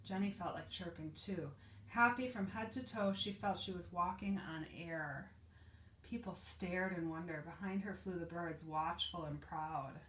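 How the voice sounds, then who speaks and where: Low-pitched woman teacher reading aloud, echoey room. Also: a little slow, fairly bright tone. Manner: explains feelings simply and asks the children questions. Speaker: preschool teacher. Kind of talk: reading a picture book aloud to young children.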